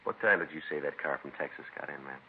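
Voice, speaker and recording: Really low voiced cop, talks quick and flat, old tinny noisy radio show. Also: male voice. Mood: dry, official. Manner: clipped, matter-of-fact, deadpan. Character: calm, dogged male police detective and narrator. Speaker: police detective. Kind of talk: 1950s radio crime drama.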